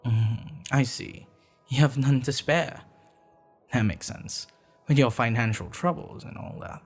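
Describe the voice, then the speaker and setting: A man's voice: medium pitch, a little slow, breathy edge, faint music behind. A man playing a courteous, flustered, deferential storekeeper who repeats himself in an ASMR audio roleplay. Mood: flustered, apologetic. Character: polite, flustered, deferential male storekeeper, an assassin's disguise.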